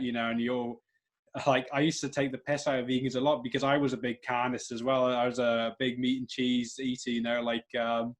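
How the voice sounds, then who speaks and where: A man from Wales co-hosting an interview, who rambles in a friendly way with plenty of fillers and small laughs. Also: mid-range voice. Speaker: podcast co-host. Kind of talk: casual interview chat.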